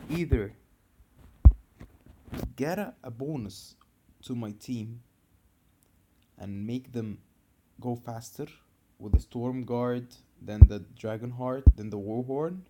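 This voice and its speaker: A man from Egypt with an Egyptian accent, explaining a game character build in a casual fan video, hesitant with many fillers and restarts, and suddenly loud when stressing a point. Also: medium pitch, noisy background. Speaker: gamer making a fan video.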